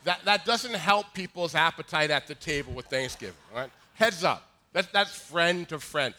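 Pastor guy in his 40s, high voice, bright and jokey, keeps restarting sentences. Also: lively intonation, moderate pace. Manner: joking, conversational, many restarts. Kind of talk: sermon with humorous asides.

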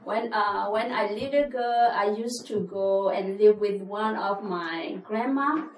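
A woman's voice: mid-range voice, slow, echoey room, far from the mic, slight background noise, low-quality recording. A woman from Burma, telling her life story in hesitant at church. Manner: plain, hesitant, many uh fillers. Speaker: refugee giving testimony. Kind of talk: church testimony, non-native English.

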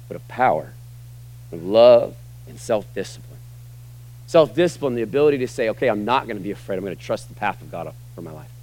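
A middle-aged American man preaching a sermon to a church congregation, emphatic, moving between loud, punchy lines and quiet, low asides. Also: low-quality recording. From United States. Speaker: pastor. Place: church sanctuary.

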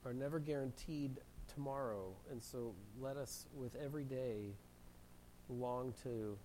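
A male pastor preaching a sermon in a church, hoarse from a cold and often clearing his throat. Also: medium pitch, mellow, slight background noise, low-quality recording. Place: church sanctuary. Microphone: handheld mic.

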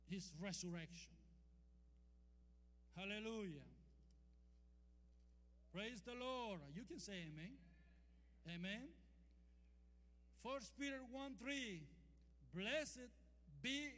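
A man's voice: high voice, pushed and bright. A man from Costa Rica with a Costa Rican Spanish accent, preaching a sermon and calling for amens from the congregation. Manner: calls and responses, drawn-out emphatic scripture quotes. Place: church hall. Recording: long hall reverb, faint hum.

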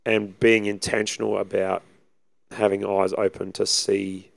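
Husky assistant pastor, low and gravelly, casual and rambling. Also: male voice, level tone, a little slow, dry recording. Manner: easygoing, rambling with many fillers. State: husky from preaching. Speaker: assistant pastor, podcast co-host. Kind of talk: casual chat.

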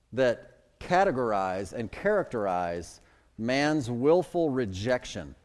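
A man's voice: medium pitch, a little mellow. A middle-aged man from the Chicago suburbs preaching a sermon as pastor, with emphatic swells and drops in his delivery. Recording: long hall reverb.

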